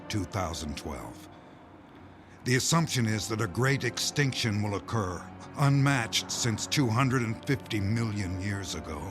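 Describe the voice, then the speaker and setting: A man playing a grim doomsday insider in an audio drama, speaking in measured, weighty phrases. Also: on the low side. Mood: grave, bitter. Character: grim male insider revealing a doomsday conspiracy. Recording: music bed under the voice.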